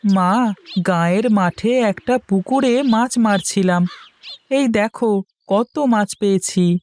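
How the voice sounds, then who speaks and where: A woman's voice: mid-range voice, slow pace, darkish tone, slight background noise, natural voice. A woman voicing a polite, eager young village boy in a cartoon, with an earnest manner. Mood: cheerful. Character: young village boy, eager, respectful, mud-covered fisher. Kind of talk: cartoon voice acting.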